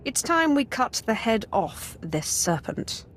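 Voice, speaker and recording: A woman's voice: on the high side, very animated, slow pace, slight background noise. An actress playing a ruthless, cold Sith warrior woman in a video game, declaring threats with menace. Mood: gloating menace. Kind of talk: video game cutscene acting.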